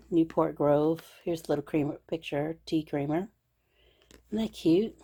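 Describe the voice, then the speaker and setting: A middle-aged woman with a low, slightly scratchy voice, chattering cheerfully about her craft supplies. She gushes and fills the gaps with "um"s. Faint noise sits behind her. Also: a little mellow. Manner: chatty and gushing, with frequent fillers. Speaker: craft channel host. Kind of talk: casual crafting show-and-tell.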